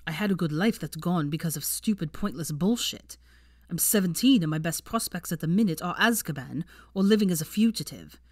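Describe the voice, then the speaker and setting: A woman's voice: lowish voice, animated, brisk, no echo, clean studio sound. A woman reading the part of a bitter, defensive seventeen-year-old wizard boy with a cold temper in an audiobook story. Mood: angry. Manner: profane, bitter, arguing in long bursts. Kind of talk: fan fiction audiobook character dialogue.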